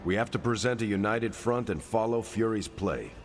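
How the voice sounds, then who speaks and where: A man voicing a steady soldier leader. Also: fairly low pitch, moderate pace, faint music behind, close to an everyday voice. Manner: steady, commanding, level. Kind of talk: video game cutscene acting.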